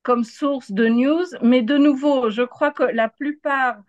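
A woman from Argentina who grew up speaking answers as an interviewed journalist in a dry, close sound. She takes her time, hesitating as she looks for words and doubling them for emphasis. Her voice sits fairly high. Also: fairly even intonation, slow pace, dry recording, lo-fi sound. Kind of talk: live interview analysis.

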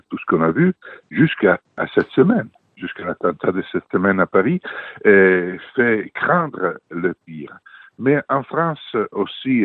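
Older italian guy on the phone, kinda low voice, lots of uh pauses, dry thin sound. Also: no room sound. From Italy. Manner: earnest, hesitant with many fillers. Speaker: political journalist, guest. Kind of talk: radio interview by phone. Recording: tinny dry phone line.

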